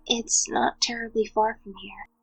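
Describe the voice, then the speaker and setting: A woman's voice: a little high, flat delivery, measured pace, slightly rough, exaggerated voice, rough recording. A woman playing a timid, earnest young princess with a hesitant, stammering manner in an audio drama. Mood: nervous, earnest. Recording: music behind the voice.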